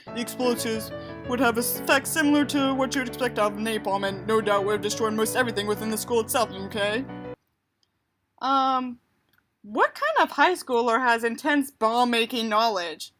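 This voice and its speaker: A woman's voice: on the high side, moderate pace, over music. A woman reading a book aloud and adding sarcastic, exasperated, emphatic asides of her own. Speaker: audiobook reader and commentator.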